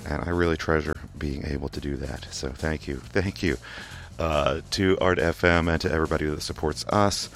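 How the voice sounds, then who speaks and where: A man with a Kentucky accent, hosting a community radio music show, chatting in a relaxed, rambling way with plenty of fillers between records. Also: very deep voice, normal speed, hardly any pauses. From England. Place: community radio station studio.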